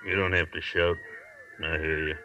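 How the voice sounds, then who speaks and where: Casting: weary, doubting fugitive, very low forceful voice, vintage radio drama with dull, distant sound. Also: male voice, level tone, normal speed. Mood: sour, doubtful. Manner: mournful, complaining, doubtful. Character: gloomy, weary big convict stranded in the jungle. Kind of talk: 1940s radio acting. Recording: muffled, hissy old radio recording.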